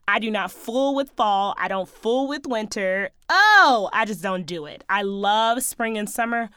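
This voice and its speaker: A woman hosting her own podcast in a sassy, chatty way, talking straight to her listeners, her voice a little hoarse. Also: medium pitch, a little slow, sharp tone, no room sound, studio quality. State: slightly hoarse, losing her voice. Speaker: podcast host. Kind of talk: casual solo podcast chat.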